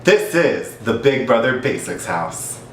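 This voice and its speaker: A man hosts a reality competition show with a dramatic announcer's delivery, his pitch leaping up and down. He sounds roomy and a bit off the mic, with a faint hiss underneath. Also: very animated, a little slow, a bit bright. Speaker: host. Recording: echoey room, distant mic, faint hiss.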